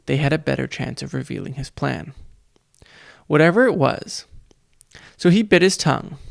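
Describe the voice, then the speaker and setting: A man reading a children's audiobook, narrating in a measured, deliberate way. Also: medium pitch, vocal fry, dry recording, clean studio sound. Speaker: audiobook reader.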